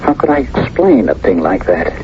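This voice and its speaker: A man's voice: low voice, sing-song, normal speed. An actor playing an anguished, fearful ordinary man who survived a war, agitated and hesitant, in 1970s radio drama style. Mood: desperate, defensive. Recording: muffled, noisy old radio broadcast.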